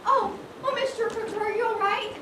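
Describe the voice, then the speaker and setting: A woman's voice: extremely high pitch, steady tone, brisk. A woman acting on stage as a sweet, fretful young shop assistant, deferential and theatrical. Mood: concerned. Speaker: stage actor in a one-act play. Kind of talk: projected amateur stage acting. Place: theatre stage, distant microphone. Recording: very echoey, loud noise, distant mic.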